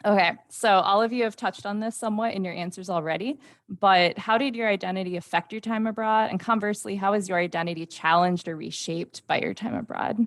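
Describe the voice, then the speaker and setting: A woman's voice: medium pitch, steady tone, brisk, no room sound, clean studio sound. Woman moderating a video panel. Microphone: video call.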